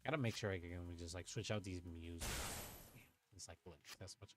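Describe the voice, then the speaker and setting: A young man from Puerto Rico with a lowish voice chats casually through a card-game livestream. He thinks aloud, mutters and swears at his own plays. Faint music plays under his voice. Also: brisk. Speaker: streamer playing an online card game. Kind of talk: casual livestream chat and play commentary.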